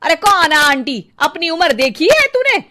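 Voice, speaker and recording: A woman voicing a cranky, sharp-tongued older Indian mother-in-law in a Hindi cartoon story, with a scolding, sarcastic manner. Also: very high voice, sing-song, moderate pace, voice pushed up, exaggerated voice. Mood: indignant.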